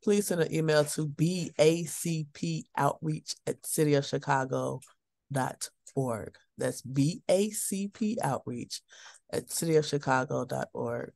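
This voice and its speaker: A staff member on a webinar slowly dictates a web address and an email address for attendees. The voice is gravelly and mid-pitched, recorded dry with almost no room sound. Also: a little mellow, no room sound. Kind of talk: giving instructions in a webinar.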